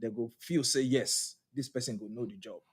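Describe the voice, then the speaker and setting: Man co-host, mid pitch, animated, chatty storytelling. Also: normal speed, breathy edge. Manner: animated storyteller, repeats words. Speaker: podcast co-host. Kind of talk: casual chat, live podcast.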